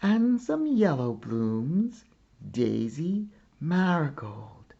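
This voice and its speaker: A man reading a children's picture book aloud like a teacher, with deliberate, expressive phrasing and pauses. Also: fairly high pitch, gravelly, dull audio. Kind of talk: slow children's storybook read-aloud.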